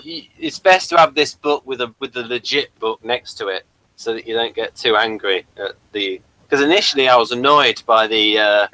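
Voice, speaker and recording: A man with a bright, forward voice hosts a casual review conversation. He rambles a little and thinks aloud, with an average pitch for a man. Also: normal speed, no room sound, rough recording. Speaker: podcast review host.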